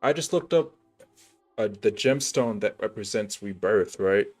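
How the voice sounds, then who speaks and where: A man's voice: fairly low pitch, expressive, a little slow, darkish tone. A young man streaming a game, chatting casually in a rambling, laid-back way. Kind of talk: casual gameplay commentary. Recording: stream with faint game music.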